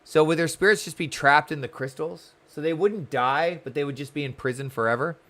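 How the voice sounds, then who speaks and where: A man's voice: a little high, brisk, a bit bright. A man live-streaming a game, chatting casually and welcomingly with viewers while commenting on the story, often repeating words.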